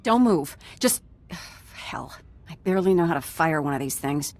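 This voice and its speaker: A woman's voice: low-pitched, animated, moderate pace, darkish tone. A woman playing a frazzled, wry scientist in a video game, with a jittery edge. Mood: nervous.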